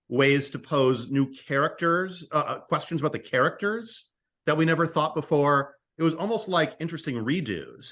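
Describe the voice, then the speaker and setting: A man talks as a writer being interviewed, with a middling pitch. His delivery is casual and conversational, halting as he repeats and restarts phrases to get at his ideas. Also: fairly bright tone. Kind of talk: casual creative discussion.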